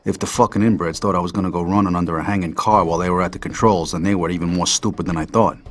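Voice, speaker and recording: An actor playing a jaded American trucker and war veteran, narrating a hard-boiled crime game in a matter-of-fact, world-weary way. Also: male voice, low-pitched, steady tone, racing, soft background music, lightly acted. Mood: wary. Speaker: narrator and player character.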